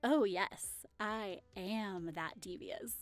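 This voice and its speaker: A woman's voice: mid-range voice, takes it slowly, no room sound, faint music behind, close to an everyday voice. A woman acting in an audio roleplay as a cheerful, teasing hostess welcoming friends to a party. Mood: warm, teasing. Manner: bubbly, welcoming, playful.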